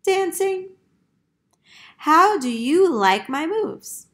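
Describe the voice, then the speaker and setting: A woman voicing an excitable, cheeky green duck in a children's storybook. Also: extremely high pitch, extremely slow, voice pushed up, full character voice. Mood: proud. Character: excitable green dancing duck, a little wild.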